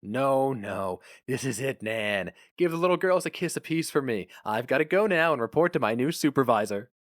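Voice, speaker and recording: A man's voice: medium pitch, expressive, normal speed, dry recording, studio quality, pitched above the performer's own voice, close to an everyday voice. A man voicing an eager, stubborn young husband who speaks earnestly and insistently. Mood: excited, resolute.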